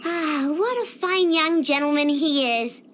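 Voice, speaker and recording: Very high-pitched, bubbly girl genius. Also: a little slow. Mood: cheerful, proud. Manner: bubbly, boastful, expressive, childlike. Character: cheerful young girl genius inventor, proud and childlike. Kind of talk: scripted video game character voice lines.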